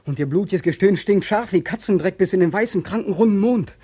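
An actor playing a weary, haunted ex-soldier in a 1940s radio drama, pleading and obsessively repeating himself. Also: male voice, sits high, brisk, warm tone, breathy edge, no echo. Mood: fevered, declamatory. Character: weary, haunted ex-soldier back from war, insistent.

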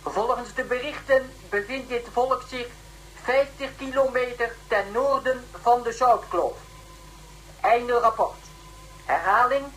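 Sci-fi radio role: female ship's officer, slow formal reports, slightly high pitch. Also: slight background noise, poor audio. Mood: formal, urgent. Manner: formal, deliberate military reporting. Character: dutiful female course-command officer on a spaceship. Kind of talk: radio play acting.

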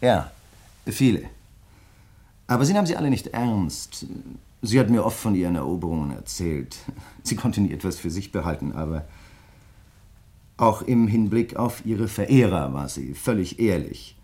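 Crime writer in a radio play: very deep, grieving, measured, politely insistent. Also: male voice, lively intonation, mellow. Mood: wistful, reflective. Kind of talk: German radio play dialogue.

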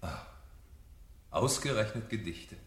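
An actor playing a mocking fire captain with a falsely hearty, theatrical, menacing manner in a 1970s German radio drama. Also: male voice, lowish voice, very animated, slow pace. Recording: echoey room, vintage radio play.

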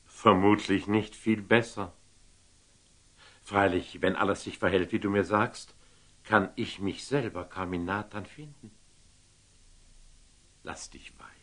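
An actor playing a shrewd, indulgent older sultan in a radio play, speaking classical verse with measured authority. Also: male voice, on the low side, fairly bright tone. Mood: calming, commanding.

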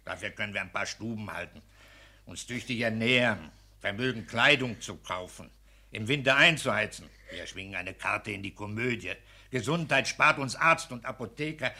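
An actor in a 1960s German radio play playing a petty, tyrannical civil servant husband, pompous, pedantic and self-pitying in a declamatory manner. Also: male voice, fairly low pitch, moderate pace, sharp tone, full character voice. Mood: anxious self-pity.

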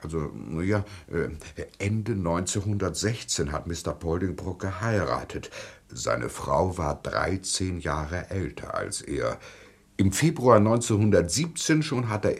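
An actor playing a fussy, evasive gentleman accomplice, hesitant and florid, in a satirical radio play. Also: low voice, very animated, a little slow, breathy edge, exaggerated voice. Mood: hesitant, explaining.

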